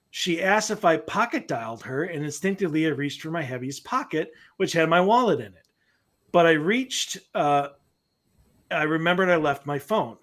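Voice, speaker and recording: A man's voice: fairly high pitch, a bit bright. A man co-hosting a casual paranormal podcast from home over a video call. He is playful, laughs easily, and reads listener stories aloud. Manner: playful, quick to laugh, drifts into a sing-song voice. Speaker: podcast co-host. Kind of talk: casual chat, reading a listener story aloud. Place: room at home. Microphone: webcam call from home.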